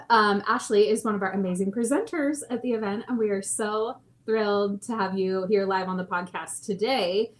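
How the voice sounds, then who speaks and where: A woman hosting a live podcast, bubbly and quick to laugh as she reads out chat comments. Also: on the high side. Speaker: podcast host.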